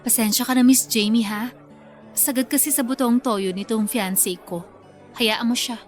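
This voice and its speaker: A woman's voice: on the high side, steady tone, moderate pace, close to an everyday voice. An actress playing a sassy, quarrelsome bride-to-be with a Filipino accent in a radio drama, music playing behind her. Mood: irritated. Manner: nagging, pouty, teasing. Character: sassy, quarrelsome young bride-to-be, quick to bicker.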